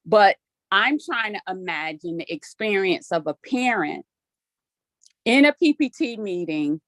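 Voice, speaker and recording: A woman's voice: medium pitch, lively intonation, unhurried, a bit bright, no room sound. A native Spanish-speaking woman giving informal, emphatic remarks as a board member at a meeting, thinking aloud as she goes.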